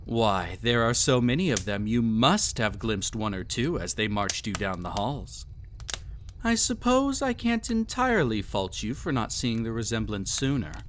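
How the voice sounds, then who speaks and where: Villain emperor, played by a man: arrogant, possessive, theatrical, highly animated mid-range voice, some background noise, audio roleplay. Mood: haughty pride. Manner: haughty, formal, mocking, deliberate theatrical phrasing. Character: cruel, arrogant, possessive male emperor, devious and condescending. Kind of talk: scripted audio roleplay, villain monologue addressed to listener.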